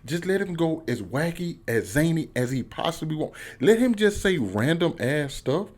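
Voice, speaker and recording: A man's voice: on the high side, normal speed. A man with an American accent giving a casual, slangy, emphatic one-take review as a YouTuber. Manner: slangy, informal, excitable, emphatic.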